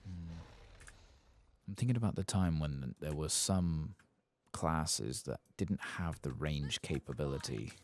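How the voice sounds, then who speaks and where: A soft-spoken man giving ASMR video game commentary, musing to himself and reading quest text aloud in an unhurried way. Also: a bass voice. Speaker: ASMR gaming streamer narrating his play.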